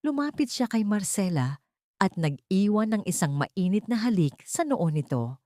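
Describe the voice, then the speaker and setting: A woman's voice: mid-range voice, very animated, normal speed, darkish tone, dry recording, clean studio sound. A woman reading scripted, dramatic narration of a love story as a storyteller.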